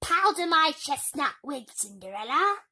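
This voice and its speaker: A woman voicing a mean, spoilt young stepsister in a storybook, shrieking drawn-out, bossy commands. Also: high voice, big pitch swings, sharp tone, voice pushed up, full character voice. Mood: imperious.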